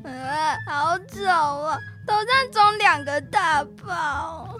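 A schoolgirl playing an excitable, bossy young big sister in a children's radio drama. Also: very high-pitched, extremely slow, over music, heavily acted. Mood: upset.

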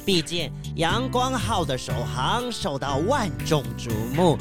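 An earnest cruise-yacht captain, explains himself slowly with strong rises and falls. It is a children's radio play, with prominent music behind him. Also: male voice, fairly high pitch, sing-song. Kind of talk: children's mystery audio drama.

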